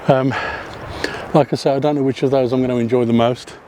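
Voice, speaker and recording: Guy chatting to camera on a hill walk, mellow voice, lots of ums, a bit of outdoor hiss. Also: mid-range voice, steady tone, brisk. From not Welsh. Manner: chatty, with frequent ums and self-corrections. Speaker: photography vlogger. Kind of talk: casual vlog narration while walking. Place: outdoors on a hillside path above a seaside town.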